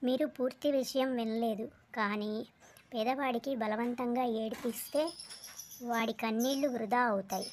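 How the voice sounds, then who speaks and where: A woman playing a wise, solemn royal guru in a cartoon story, explaining things in a measured way. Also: fairly high pitch, fairly even intonation, a little mellow, slight background noise, lightly acted. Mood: solemn, advising. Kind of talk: acted cartoon story dialogue.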